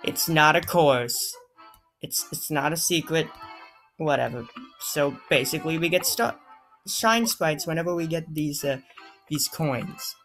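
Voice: mid-range voice, measured pace, a bit bright, faint music behind. A gamer hosting a casual let's play, talking in a playful, rambling way that drifts into babble. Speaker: gaming video host.

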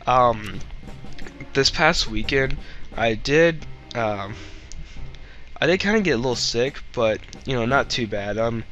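A man's voice: mid-range voice, expressive, takes it slowly, pauses often, a bit bright, dull audio, soft background music. A young man hosting a Let's Play with casual, rambling, jokey gameplay commentary and a slightly stuffy nose. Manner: rambling, goofy, jokey asides.

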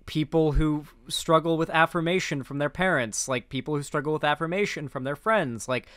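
A young man commentates on politics in a streamer's off-the-cuff style. His voice sits a little high and the sound is very dry. He restarts phrases often and talks with sardonic, sweary bite. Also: pushed and bright, no room sound. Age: young adult. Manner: halting, repetitive, sardonic, sweary. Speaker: streamer reacting to a video. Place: indoor streaming room.

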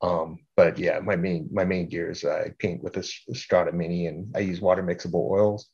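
A middle-aged man presents informally to a group in a fairly low voice. He speaks haltingly, often doubling back on words, with a self-deprecating manner. The sound is slightly dull and lo-fi, as on a video call. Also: little pitch movement, a little mellow, breathy edge. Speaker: art group organizer giving a talk. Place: indoor room at home, on a video call.

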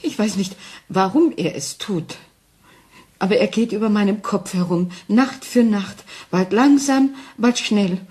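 An actress playing a frightened wife who doubts her sanity, speaking anxiously and haltingly in a German crime radio play. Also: mid-range voice, darkish tone, natural voice. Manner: anxious, halting, intense, dropping into whispers.

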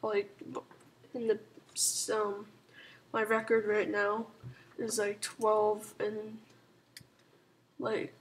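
A woman with a sore throat and a hoarse, sick voice, rambling softly through casual strategy game commentary with lots of ums and likes. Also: medium pitch, monotone, slow, long pauses. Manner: rambling, full of "like" and "um", soft-spoken. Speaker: gaming channel host.

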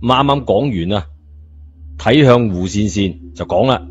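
Low-pitched male audiobook narrator, Cantonese. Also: a little slow. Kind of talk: scripted story narration, colloquial. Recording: muffled narration over music bed.

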